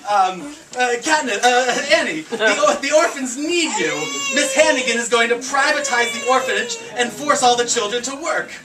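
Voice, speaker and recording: An earnest young heroine, voiced by a puppeteer who stumbles over her words, her pitch lively and varied. The sound comes from away from the mic, with clear noise behind. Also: normal speed, few pauses, distant mic, close to an everyday voice. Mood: urgent. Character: brave young heroine on a rescue.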